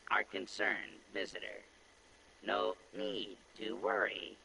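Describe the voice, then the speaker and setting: Monotone, gravelly robot cowboy sheriff. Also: male voice, fairly low pitch, slight background noise, heavily acted, rough recording. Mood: flat, mechanical. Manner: flat, halting, programmed delivery with cowboy drawl phrasing. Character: folksy robot cowboy sheriff greeting theme park visitors. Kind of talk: scripted video game character dialogue.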